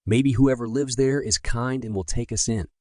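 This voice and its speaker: A man's voice: medium pitch, normal speed, mellow, no echo, clean studio sound, voice pushed up, close to an everyday voice. A man voicing a brave, earnest young girl who looks after her brother in a bedtime story. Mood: hopeful. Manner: earnest, reassuring, polite. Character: brave, resourceful young girl, caring big sister.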